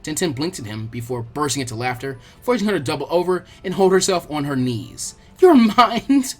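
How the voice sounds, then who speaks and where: An audiobook narrator reading fan fiction in an expressive, storytelling style. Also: mid-range voice, a little fast, faint music behind.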